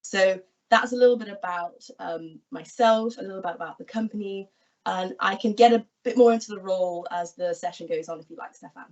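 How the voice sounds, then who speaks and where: A woman in her twenties, from England, explaining her job in a friendly, clear way on an online careers talk. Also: mid-range voice, fairly quick, darkish tone. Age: 20s. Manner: friendly, explanatory, gestures while talking. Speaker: equity research analyst guest. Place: small room at home, indoors. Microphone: laptop webcam video call. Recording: distant, dull video call audio.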